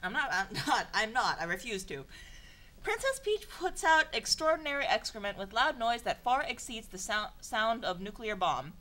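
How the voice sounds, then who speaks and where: A woman reads a story aloud in an informal, theatrical way. Her pitch moves around a lot, and her manner is sardonic and exasperated, as if narrating under protest. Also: very animated, moderate pace, bright, forceful tone. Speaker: narrator of a comedic fan fiction reading. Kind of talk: informal dramatic reading with asides.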